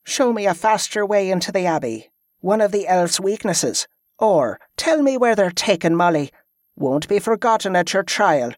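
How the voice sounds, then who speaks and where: A man's voice: high voice, lively intonation, normal speed, dry recording, studio quality, pitched above the performer's own voice. Man voicing a ruthless woman commander. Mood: cold. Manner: cold, clipped, threatening.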